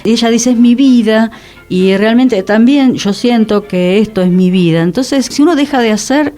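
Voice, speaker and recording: A woman's voice: a little high, normal speed, warm tone, soft background music. A woman with a Rioplatense Argentine accent, talking casually and earnestly as co-host of a radio show.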